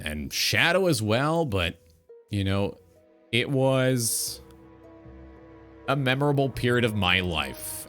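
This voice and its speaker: A man in his twenties hosting a talk show, speaking in an earnest, philosophical, halting monologue. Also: fairly low pitch, sharp tone. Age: 20s. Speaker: talk show host, game streamer.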